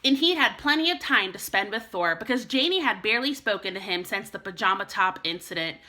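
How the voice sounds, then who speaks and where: A woman teacher reading a children's storybook aloud in an expressive way meant for young listeners. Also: medium pitch, a little fast.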